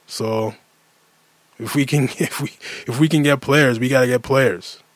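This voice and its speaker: Canadian male, late 30s, sports talk host. Emphatic, fed-up, conversational, repeats himself for punch. Average pitch, dry sound. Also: measured pace, no room sound. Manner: exasperated, emphatic, repeats phrases for effect. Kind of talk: casual sports rant monologue.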